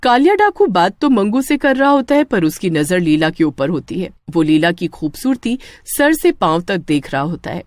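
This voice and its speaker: Animated woman storyteller, dry sound. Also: lowish voice, normal speed, few pauses, no room sound. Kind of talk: scripted expressive story narration.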